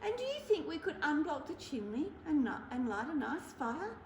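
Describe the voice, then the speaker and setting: Prim, soft-spoken little storybook mouse, high and sing-song, done by a woman, echoey room. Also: sing-song, normal speed, slight background noise. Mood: apologetic. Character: small polite male mouse, cheeky and persistent. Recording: roomy echo.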